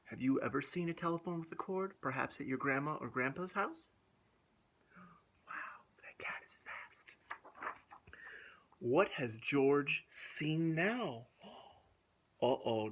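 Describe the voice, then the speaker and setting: A man reading a picture book aloud to young children in an animated, drawn-out way, asking questions and dropping into whispers. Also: mid-range voice. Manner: drawn-out, asks the listeners questions, drops to whispers. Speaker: children's storytime host.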